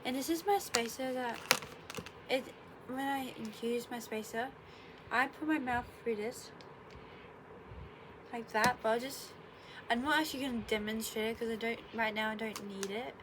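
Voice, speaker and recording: A woman's voice: a little high, fairly even intonation, normal speed, pauses often. A young woman, a bit congested, chatting casually in her bedroom, rambling and correcting herself with sarcastic asides. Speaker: vlogger. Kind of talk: casual explainer vlog. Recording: noticeable room noise.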